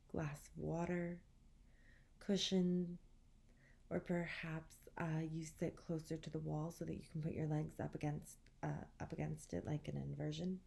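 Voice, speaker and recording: A woman leading a guided meditation as an instructor, speaking in a soothing, gentle, measured way with long pauses. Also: low voice, gravelly.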